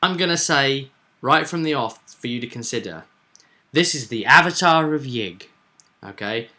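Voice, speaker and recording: A man co-hosting as game master, casually recapping a tabletop session with drawn-out, dramatic stresses. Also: mid-range voice, lively intonation, pushed and bright.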